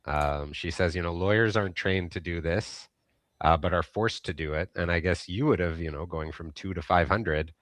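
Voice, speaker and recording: A man's voice: deep voice, normal speed, fairly bright tone, no room sound. A man hosting an interview podcast, asking questions and chiming in with short friendly affirmations. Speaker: podcast host. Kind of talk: interview questions, casual chat.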